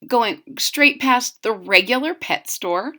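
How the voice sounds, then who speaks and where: A woman with a highish, very animated voice reads a children's storybook aloud. She takes it slowly, drawing out key words for dramatic emphasis. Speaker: storybook reader. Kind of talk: expressive children's read-aloud with explanatory asides.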